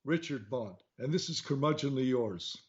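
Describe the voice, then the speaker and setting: A man's voice: medium pitch, animated, moderate pace, breathy edge, lo-fi sound. A man hosting a radio talk show, reading a formal introduction of his guest and then asking questions. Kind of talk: hosted radio interview.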